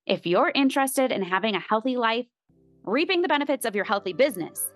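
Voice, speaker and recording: A woman's voice: a little high, lively intonation, brisk, faint music behind. A middle-aged woman hosting a podcast, upbeat and motivational, chatting with fillers. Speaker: podcast host. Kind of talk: podcast intro and interview.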